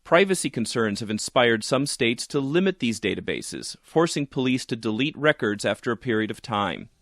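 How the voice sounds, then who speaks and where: A man's voice: mid-range voice, very animated, moderate pace, a bit bright, dry recording, studio quality. A man reading a scripted news feature in a conversational, storytelling way as a reporter.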